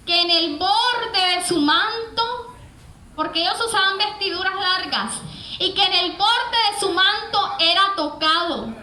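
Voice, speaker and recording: A woman's voice: very high-pitched, bright, forceful tone, reverberant, slight background noise, poor audio. A woman delivering an earnest, emphatic evangelical sermon straight to the listener, with rhetorical questions and repeated phrases. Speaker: preacher.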